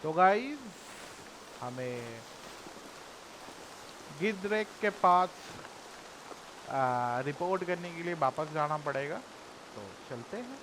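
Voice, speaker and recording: Male gaming host. Casual commentary, rapid-fire, noisy game audio behind. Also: on the high side, animated. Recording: game noise and hiss behind voice. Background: video game sound.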